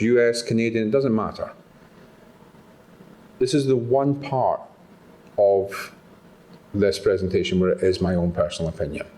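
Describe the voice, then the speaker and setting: A man with a Scottish accent gives a motivational talk to an audience in a conference room. His delivery is animated and conversational. He keeps turning to the listeners with quick questions. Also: lowish voice. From Scotland. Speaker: speaker at a patient summit.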